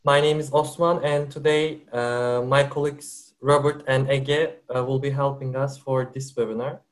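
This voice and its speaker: A man hosting a corporate webinar, presenting in a measured way with frequent hesitations. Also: medium pitch, flat delivery. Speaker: webinar host. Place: works for a company based in Turkey.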